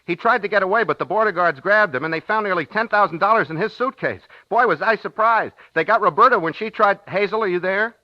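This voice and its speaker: Male actor as shaken man phoning home after a scare; high, agitated, confessional, dull vintage radio sound. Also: quick pace, few pauses, no echo, natural voice. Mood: agitated, relieved. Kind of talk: 1950s radio drama acting.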